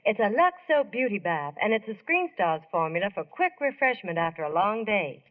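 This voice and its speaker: A woman's voice: medium pitch, sing-song, moderate pace. A woman delivering a scripted 1940s radio advertisement in a chirpy, polished, emphatic way. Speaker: radio ad spokeswoman. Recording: muffled old radio recording.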